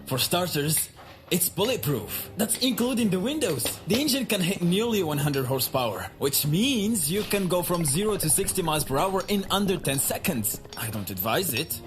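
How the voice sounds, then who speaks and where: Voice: medium pitch, sing-song, normal speed, over music, natural voice. A performer playing a proud, refined and fussy gadget inventor in a pulp radio drama. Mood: showing off.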